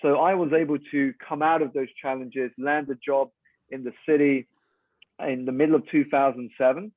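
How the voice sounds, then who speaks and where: A man speaks in a measured, reflective voice at a middle pitch. His voice comes over a tinny, phone-like line with no room echo, as he calmly tells his story as a guest. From United Kingdom. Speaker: guest, keynote speaker and coach. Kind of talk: calm interview storytelling.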